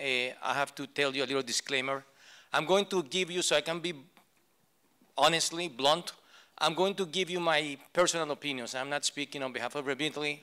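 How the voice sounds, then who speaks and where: A bright, clear-voiced man speaks as a panelist at a live event, his pitch sitting a little high. He talks bluntly and haltingly, full of fillers and restarts. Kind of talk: conference panel talk.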